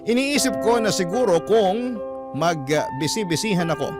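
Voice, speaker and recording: A man's voice: sits high, big pitch swings, normal speed, over loud music. A man with a Filipino accent narrating a scripted first-person story as a sentimental radio drama storyteller.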